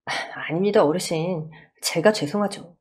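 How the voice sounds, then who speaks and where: A woman's voice: lowish voice, moderate pace, a little mellow, lightly acted. A woman voicing a humble, grateful working husband in a radio story, polite, hesitant and earnest.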